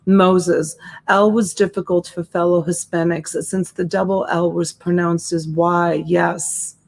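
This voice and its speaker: A woman's voice: on the low side, level tone, a little slow, creaky. A woman in her forties with a slight Boston accent, hosting a casual live-stream auction from home and greeting viewers by name with endearments. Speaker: live-stream seller and host. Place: home room with shelves. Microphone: desk USB mic.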